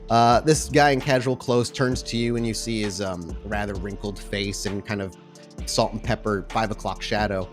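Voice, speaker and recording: A man as game master, giving atmospheric tabletop game narration with dramatic, deliberate phrasing. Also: fairly low pitch, steady tone. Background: music.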